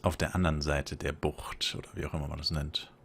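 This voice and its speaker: A man's voice: rumbling low, flat delivery, fast, gravelly, slight background noise. A man giving casual let's play commentary as a gaming streamer, in a joking manner.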